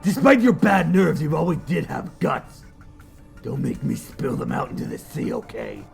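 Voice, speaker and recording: A man voicing a threatening, sardonic underwater warlord in an animated fan dub, growling and mock-casual. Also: a little high, moderate pace, faint music behind, full character voice. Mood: irritated, threatening. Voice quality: gravelly, growling. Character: sardonic, threatening crab-army sea warlord, a tough thug.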